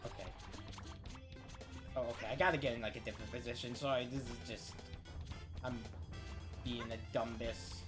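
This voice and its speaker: Young man streaming, loud game music behind. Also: medium pitch, steady tone, normal speed, long pauses. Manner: rambling, swearing, self-correcting. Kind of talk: casual live gameplay commentary.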